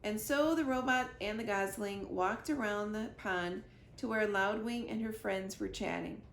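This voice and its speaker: A woman reading a children's book aloud as an expressive narrator, in a roomy, echoey recording. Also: mid-range voice, a little slow, slight background noise. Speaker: library reader. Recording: roomy, echoey indoor sound.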